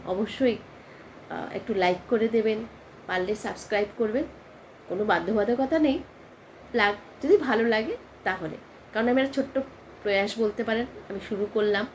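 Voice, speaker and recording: A woman narrating a casual family vlog, speaking informally and haltingly with English words mixed in. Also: medium pitch, a little hiss or hum, poor audio. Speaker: family vlogger. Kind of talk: casual personal narration to viewers.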